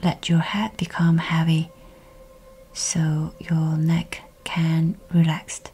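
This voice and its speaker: A woman guiding a meditation in a soft, breathy, near-whispered voice with a soothing, measured delivery. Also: low voice, monotone, slight background noise. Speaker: guided meditation host. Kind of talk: guided meditation, scripted narration. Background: background music.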